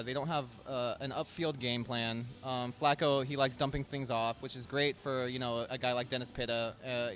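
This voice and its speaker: A man talks football as a guest analyst on a sports radio show. He speaks quickly and hesitates often, filling gaps with "uh" and "you know". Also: mid-range voice, fairly bright tone. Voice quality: hesitant, full of fillers. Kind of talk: casual sports chat.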